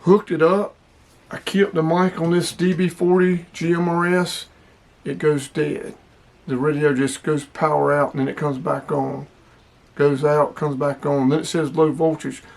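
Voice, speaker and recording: A man speaks in a voice pitched a little higher than average. He sounds like a hobbyist vlogger walking through a product demonstration. His delivery is casual, plainspoken and explanatory. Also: flat delivery, unhurried, gravelly, darkish tone.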